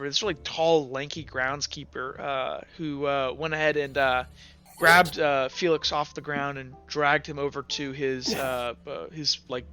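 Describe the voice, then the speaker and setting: A man runs a tabletop game as game master, with a bright, clear voice set a little high. He improvises the story haltingly, circling back over phrases as he builds each scene. The audio is slightly dull, with music playing behind him.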